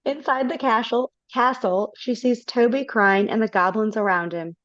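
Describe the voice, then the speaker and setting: A woman's voice: on the high side, steady tone, moderate pace, fairly bright tone, no echo. A woman reading the narration in a casual, stumbling way over a video call. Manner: casual, stumbling, laughs between lines. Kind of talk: reading stage directions in a live reading. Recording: dull lo-fi call audio.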